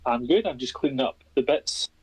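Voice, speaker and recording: Man, Scottish accent, casual chat, easygoing, pauses while listing. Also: mid-range voice, animated, poor audio. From Scotland. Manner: easygoing, reads out a list with pauses. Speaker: stream guest on voice chat.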